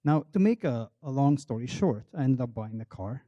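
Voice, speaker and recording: A man's voice: medium pitch, expressive, moderate pace, no room sound, lo-fi sound. A middle-aged Belgian man giving a public talk, telling a wry, self-deprecating personal story. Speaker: marketing researcher on a panel.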